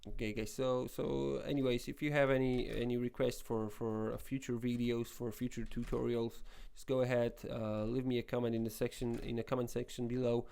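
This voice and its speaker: A man's voice: medium pitch, level tone, fairly quick, gravelly, hardly any pauses, a little mellow, smooth. A young man with a Polish accent, a non-native English speaker, hosting a web development tutorial in a rambling casual way with many restarts and fillers. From Poland. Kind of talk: casual screen-recorded tutorial talk.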